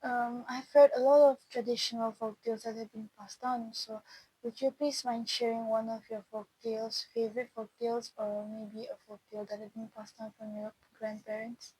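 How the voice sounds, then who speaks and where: A woman's voice: a little high, flat delivery, moderate pace, dark tone, breathy edge, no echo, low-quality recording. A woman conducting an interview, asking prepared questions politely.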